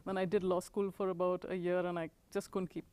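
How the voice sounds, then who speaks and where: A woman in her forties from India, answering questions in a recorded oral history interview. She speaks in a measured, reflective way, and her voice often fades at the ends of her thoughts. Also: lowish voice, level tone, warm tone. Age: 40s. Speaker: interviewee, author and professor.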